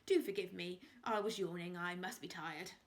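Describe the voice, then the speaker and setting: A proper manservant caught out and quickly making excuses, read by a woman. The voice dips slightly lower than the reader's own in this storybook. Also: steady tone, close to an everyday voice. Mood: embarrassed. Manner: hasty, defensive. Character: embarrassed, proper male servant.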